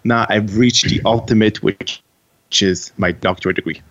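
A man's voice: lowish voice, steady tone, normal speed, a bit bright. Earnest Quebec French man, slightly muffled. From Quebec, Canada. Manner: earnest, with frequent restarts. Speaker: guest author. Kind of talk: interview answers.

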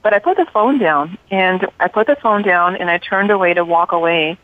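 A woman in her forties from Liberia, a radio show guest calmly telling her life story over the phone. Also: lowish voice, normal speed. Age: 40s. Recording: tinny phone line.

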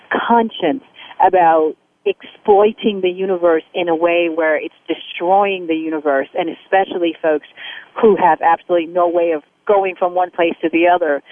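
A woman born in Haiti and raised in the United States, a guest in a radio discussion, speaking with passion and many halting restarts. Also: fairly low pitch. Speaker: guest, aid worker. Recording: phone-like radio line.

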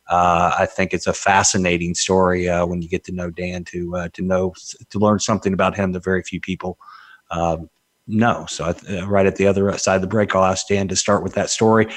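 A man's voice: low-pitched, dry recording. A man hosting a live radio talk show, speaking conversationally and hesitantly with many "uh"s.

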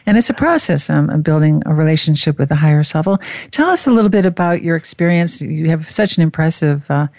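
A woman's voice: low-pitched, expressive, fast, darkish tone, no echo, studio quality. A woman hosting an interview show, talking in an effusive, gushing way as she introduces and chats with her guest. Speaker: show host.

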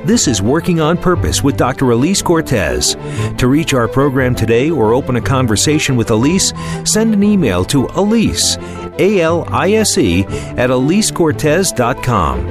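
A man's voice: mid-range voice, big pitch swings, normal speed. A man reading the scripted announcer promo for a radio program in an upbeat delivery. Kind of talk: scripted promo read over music. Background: music bed.

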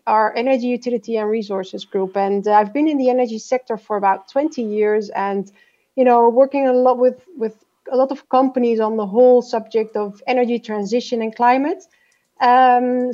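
A woman's voice: a little high, dark tone, dull audio. A businesswoman guest, raised in Peru, introducing herself in a podcast interview with many hesitant fillers. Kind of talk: interview answer.

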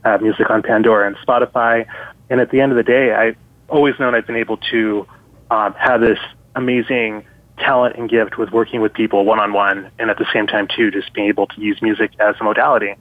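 A man's voice: on the low side, flat delivery, a little fast, dry recording. A man dialed into a radio interview, speaking earnestly about his work and stringing thoughts together with fillers. The sound is thin and phone-like, and very dry. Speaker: music composer guest, radio host. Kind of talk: interview call-in. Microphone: phone or call line. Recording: tinny phone line.

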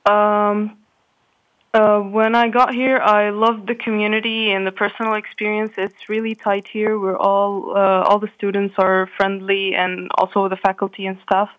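A woman's voice: medium pitch, little pitch movement, moderate pace, highs cut off. A young woman from Qatar, an engineering student, answering interview questions hesitantly with many fillers. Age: young adult.

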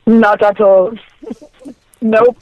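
A woman's voice: a little high, fairly even intonation, very slow, dry recording. A woman from France with a French accent, chatting casually as a guest over the phone and acting out her own quoted words. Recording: muffled phone line, dry.

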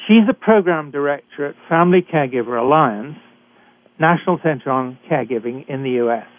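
An older British man hosting a talk radio show, introducing guests in a measured, deliberate way with occasional hesitations. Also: a little high, lively intonation, dry recording. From Britain. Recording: dry, tinny phone-like line.

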